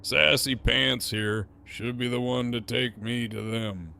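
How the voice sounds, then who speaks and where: An actor playing a menacing, foul-mouthed gang leader in an audio drama, with a taunting, theatrical, drawn-out delivery. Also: male voice, mid-range voice, steady tone, creaky, pushed and bright, clean tone, heavily acted. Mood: smug threatening.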